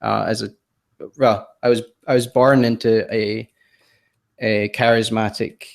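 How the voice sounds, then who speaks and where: A man in his thirties from Scotland, his accent softened by years in the US. He is a podcast guest telling his story in casual chat, hesitant and self-deprecating, with plenty of ums and restarts. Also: on the low side, flat delivery, unhurried, gravelly. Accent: Scottish, softened by years in the US.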